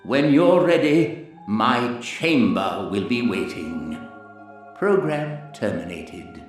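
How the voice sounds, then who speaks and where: A man playing a kindly, absent-minded older scientist in a video game, speaking in a halting, courtly, fussy way. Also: mid-range voice, very animated. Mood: proud, solemn. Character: kindly, absent-minded old scientist mentor, bumbling and formal. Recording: echoey, distant playback over music.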